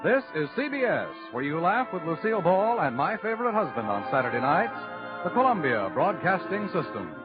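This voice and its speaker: A man's voice: sits high, big pitch swings, brisk. A man with an American accent reading scripted 1950s radio announcements in a polished, promotional manner. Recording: tinny vintage radio broadcast with music.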